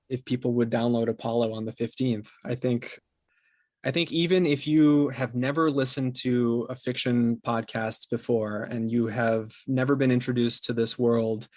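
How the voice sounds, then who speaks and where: A man's voice: medium pitch, steady tone, normal speed, a little mellow, no room sound. A man speaking conversationally as an interview guest, earnest and appreciative in manner. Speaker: interview guest, podcast company co-founder.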